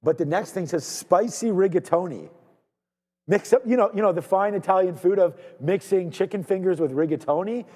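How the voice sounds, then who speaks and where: A man from Canada hosts a live trading stream in loose, rambling banter, repeating himself as he greets viewers one after another. His voice sits high and mellow. A long reverb trails behind it. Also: normal speed. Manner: energetic, rambling, repeats words, shouts out viewers.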